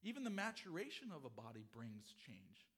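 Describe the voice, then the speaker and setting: A middle-aged pastor preaching a sermon, his voice hoarse from a cold, earnest and expository, often repeating phrases. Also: medium pitch, wildly swooping pitch, brisk, a bit bright.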